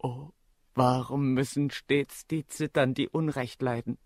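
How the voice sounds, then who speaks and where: An actor playing a wronged, trembling servant in a German radio drama, brooding, bitter and anguished. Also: male voice, medium pitch, fairly even intonation, measured pace, no echo, clean studio sound, close to an everyday voice.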